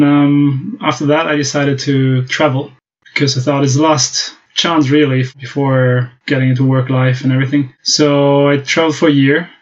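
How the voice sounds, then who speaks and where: Flat-voiced German man, hesitant. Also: mid-range voice. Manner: hesitant, many fillers and restarts. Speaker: guest. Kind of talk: casual interview talk. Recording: dull lo-fi podcast audio.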